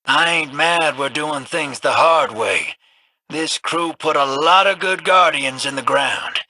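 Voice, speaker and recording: An actor playing a swaggering, sly male gunslinger in a video game, talking in a husky, folksy drawl and teasing the player. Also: on the high side, slow, sharp tone, no echo, exaggerated voice. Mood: wry. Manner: teasing, calls listener hero and little sister.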